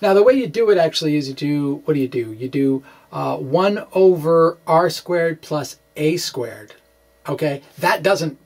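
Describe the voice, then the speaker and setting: A middle-aged man giving an informal explanation to camera as a physics blogger, halting and often repeating words. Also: a little high, lively intonation, a little mellow. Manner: halting, hesitant, full of repeated words and tags like "okay?"; chuckles as he talks. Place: small indoor room with bookshelves.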